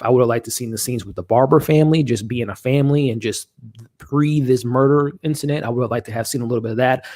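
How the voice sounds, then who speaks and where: A man's voice: medium pitch, darkish tone, dry recording, studio quality. Nasally man rambling on a live stream. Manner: rambling, many restarts and fillers. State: nasally from allergies. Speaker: host of a live watch-along stream. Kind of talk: casual live-stream commentary, reading chat.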